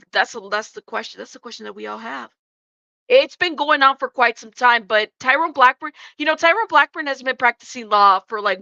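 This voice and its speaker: A woman's voice: fairly high pitch, pushed and bright, no room sound, dull audio. A congested woman hosting a livestream, chatting casually and bluntly with her viewers and swearing freely. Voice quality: congested, stuffed-up nose. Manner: informal, blunt, sweary, addresses chat directly. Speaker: livestream host. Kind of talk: casual live commentary to viewers.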